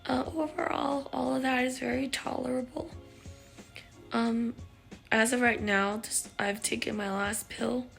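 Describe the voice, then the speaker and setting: A woman vlogger giving a casual recovery check-in, with a raspy, sometimes unclear voice and a halting, filler-heavy way of talking. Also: a little high, fairly even intonation, fairly bright tone, soft background music. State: recovering voice after neck surgery.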